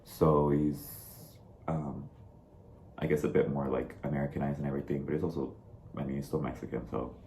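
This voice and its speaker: Very deep-voiced young man, chatty. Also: flat delivery, a little fast, warm tone, roomy sound, a little hiss or hum, low-quality recording. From Dallas, Texas. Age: young adult. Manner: chatty, lots of "like" and "I mean". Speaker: co-host. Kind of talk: casual chat. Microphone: video call.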